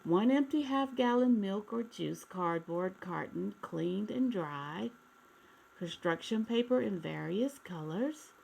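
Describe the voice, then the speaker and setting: A woman reads for young children in an expressive storytime manner. Her pace is slow and measured, with noticeable fry in a mid-pitched voice. She speaks directly to the children listening. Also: rough recording.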